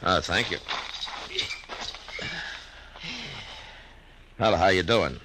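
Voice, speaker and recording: An actor playing a calm, plainspoken frontier marshal who also narrates, in a 1950s radio drama. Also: male voice, low-pitched, a little fast, noisy background, natural voice. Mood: easygoing. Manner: laconic, drawling, understated. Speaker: narrator and lead character.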